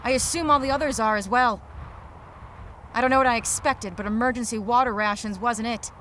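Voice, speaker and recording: A woman's voice: a little high, fairly quick, slight background noise, lightly acted. Woman playing a world-weary hard-boiled female detective. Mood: dismayed, bitter. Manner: terse, wry, deadpan noir narration and dialogue. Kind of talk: noir audio drama acting.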